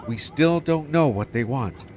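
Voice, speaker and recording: A man's voice: mid-range voice, lively intonation, a little slow, lightly acted. A man playing a sceptical, official older police captain in an audio drama, with clipped questions. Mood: reluctant. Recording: clearly noisy outdoor street ambience behind.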